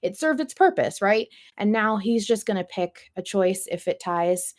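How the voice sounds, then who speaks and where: A woman's voice: medium pitch, expressive, moderate pace. A woman hosting a podcast, talking casually in a chatty, explanatory way with some emphasis. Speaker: podcast host.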